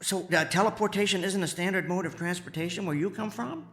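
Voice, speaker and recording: A man playing an excitable, kindly amateur inventor in a radio drama, stammering and folksy. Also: high voice, a little fast, soft background music. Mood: earnest. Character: excitable, kindly amateur inventor, male former teleportation engineer.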